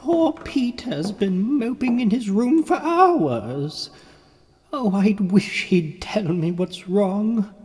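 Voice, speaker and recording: Woman playing a slow, worried older aunt. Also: medium pitch, expressive, darkish tone, in a large hall. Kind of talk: comic book dramatic reading.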